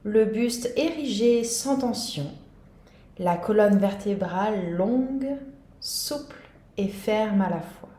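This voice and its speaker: A woman guiding a yoga nidra meditation in a calm, gentle, soothing manner. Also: medium pitch, takes it slowly, roomy sound. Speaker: meditation guide.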